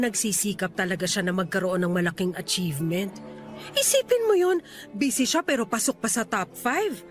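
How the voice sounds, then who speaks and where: A woman playing a doting Filipino mother in a radio drama, chatty and gushing with admiration as she gently compares her child. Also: a little high, natural voice.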